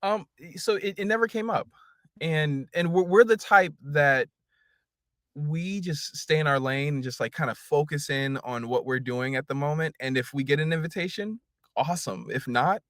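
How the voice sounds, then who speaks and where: A man, a singer, guesting on a podcast, chatting warmly and gratefully and laughing often. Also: fairly high pitch, moderate pace, no room sound, clean studio sound. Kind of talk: casual interview chat.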